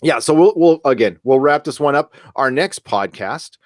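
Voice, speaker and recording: A man's voice: mid-range voice, animated, normal speed, dry recording, clean studio sound. Rambling man hosting a casual podcast. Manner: rambling, profane, joking. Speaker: podcast host. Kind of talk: casual live podcast chat.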